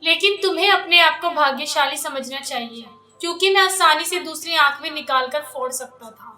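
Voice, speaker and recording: A woman voicing a feisty, bargaining male heron in an animated children's fable. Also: high-pitched, moderate pace, faint music behind. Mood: vengeful. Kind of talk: children's cartoon voice acting. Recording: distant, echoey voice recording.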